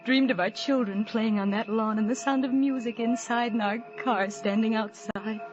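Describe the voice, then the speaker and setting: Actress as an obsessive, lovesick young woman, high-voiced. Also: steady tone, moderate pace, dull audio, lightly acted. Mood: yearning. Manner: fervent, dramatic, breathy pleading. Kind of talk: 1940s radio suspense acting. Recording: old radio broadcast with music behind.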